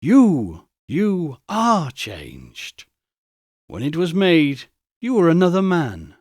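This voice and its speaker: A man voicing a gentle, sorrowful young woman in a storybook reading, soft, measured and resolute. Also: on the high side, huge pitch swings, no echo, studio quality, exaggerated voice. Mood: sad, resolute.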